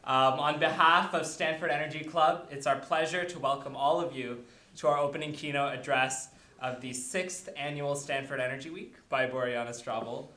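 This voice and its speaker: A man's voice: on the high side, fairly even intonation, normal speed, sharp tone. A young man from a student club opening an event with welcome remarks, with many fillers as he lists the schedule. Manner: filler-heavy, listing events. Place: lecture hall. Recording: roomy hall sound.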